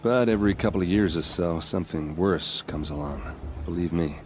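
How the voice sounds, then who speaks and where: A male actor performs a friendly video game warrior with a deep voice. He is plain-spoken and wry, proud of his people and given to folksy boasting. Faint ambient noise sits behind him. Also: moderate pace, a little mellow, close to an everyday voice. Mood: grave, explanatory. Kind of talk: game cutscene dialogue acting.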